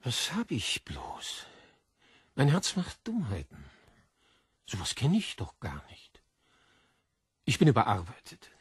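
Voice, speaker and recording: Ein Schauspieler spricht in einem Hörspiel einen aufgewühlten, wichtigtuerischen Literaturredakteur, mit heiserer Stimme und ausrufender Art. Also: male voice, mid-range voice, wildly swooping pitch, takes it slowly, pauses often, husky, no echo, studio quality, full character voice. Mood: anxious. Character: agitated male literary editor, shaken and self-important.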